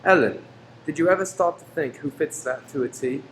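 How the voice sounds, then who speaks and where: Gentle, placating Victorian husband played by a man, roomy sound. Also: on the high side, normal speed, lightly acted. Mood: concerned, wistful. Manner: soothing, earnest. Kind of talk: old-time radio play acting. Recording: roomy stage recording, faint hum.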